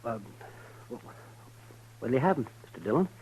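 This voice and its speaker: A man's voice: medium pitch, lively intonation, normal speed, darkish tone, a little hiss or hum, close to an everyday voice. An actor playing a nervous, evasive bartender man who stammers, in a 1950s radio drama. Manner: hesitant, stammering. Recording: dull lo-fi old radio recording.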